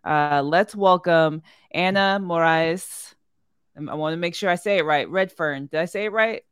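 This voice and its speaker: A woman hosts a casual podcast in a smooth, clear voice recorded dry and close. She sounds friendly and upbeat, and her questions wander a little before they land. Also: lowish voice, moderate pace, no echo. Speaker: podcast host.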